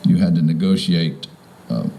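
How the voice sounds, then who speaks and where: A man in his fifties or older from Virginia giving an informal, halting welcome speech as a property owner. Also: low-pitched, creaky, warm tone, slight background noise. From Virginia, United States. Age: 50s or older. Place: outdoors in front of a house.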